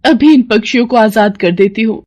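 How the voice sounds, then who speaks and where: A woman's voice: fairly high pitch, a little fast, a little mellow, lo-fi sound, close to an everyday voice. Humble, pleading young village wife, played by a woman. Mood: anxious, pleading. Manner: earnest, respectful, beseeching. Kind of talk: Hindi moral-story cartoon voice acting.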